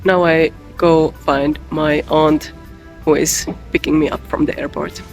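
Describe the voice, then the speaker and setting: Gravelly young man vlogging. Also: fairly high pitch, little pitch movement, unhurried, soft background music. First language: Finnish. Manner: hesitant, many ums and uhs. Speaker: convention artist vlogger. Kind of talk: casual travel vlog.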